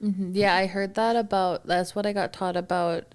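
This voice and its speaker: A woman's voice: medium pitch, fairly even intonation, moderate pace, smooth. Giggly young woman, hesitant speech. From Manitoba, Canada. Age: 20s. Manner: hesitant, many fillers, giggly. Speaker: podcast guest. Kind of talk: casual interview chat.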